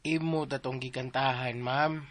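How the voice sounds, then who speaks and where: A man's voice: medium pitch, steady tone, normal speed, no echo, natural voice. A man with a Filipino accent playing a cheeky, bumbling household helper in a comic radio drama, chattering and repeating himself. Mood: deferential, joking. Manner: chattery, repetitive, teasing.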